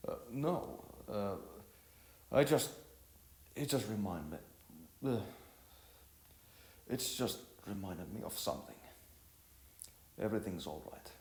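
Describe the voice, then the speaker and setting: A man's voice: lowish voice, animated, slow, long pauses, darkish tone, slight background noise. A man voicing an earnest, modest boy in an amateur story, speaking in a polite, formal, hesitant way. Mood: flustered. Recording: roomy echo with music behind.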